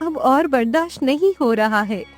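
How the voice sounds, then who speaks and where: Woman playing a high-pitched, tenderhearted young wife. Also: expressive, normal speed, soft background music. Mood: distressed. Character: Seema, worried devoted young wife, kindhearted.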